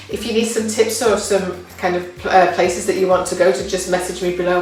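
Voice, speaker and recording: A woman's voice: lowish voice, heavy reverb, recorded from a distance, poor audio. A woman with an English accent, talking casually and upbeat about local living, with fillers and restarts. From England. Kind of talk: casual talk to camera.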